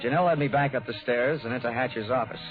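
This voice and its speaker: An actor playing a cynical, wisecracking insurance investigator in a 1940s radio detective drama, wry and dry. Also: male voice, medium pitch, quick pace, close to an everyday voice. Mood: wry, narrating. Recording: tinny, narrow-band 1940s radio recording, music.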